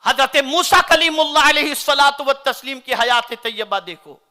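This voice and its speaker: A man from India, preaching a sermon in an oratorical style that swings between loud declaiming and soft recitation. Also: very high-pitched, normal speed. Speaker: Islamic preacher.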